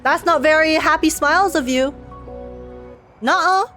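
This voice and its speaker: A woman's voice: sits high, measured pace, fairly bright tone, faint music behind. A young woman streaming a game, joking sarcastically and laughing often. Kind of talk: casual reaction commentary.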